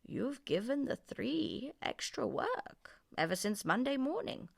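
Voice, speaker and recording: Woman as a commanding, slow-spoken desert djinn. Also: high voice, big pitch swings, gravelly, dry recording, studio quality, pitched above the performer's own voice, full character voice. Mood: stern.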